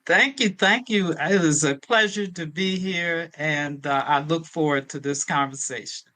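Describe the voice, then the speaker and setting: A woman's voice: low voice, unhurried, pushed and bright. A woman of seventy, a personal trainer guesting on a podcast, chatting casually and restarting her sentences. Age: 70s. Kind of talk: casual conversation.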